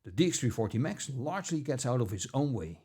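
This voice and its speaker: A man narrating a scripted product review as an audio gear reviewer, with measured, deliberate phrasing. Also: mid-range voice, big pitch swings.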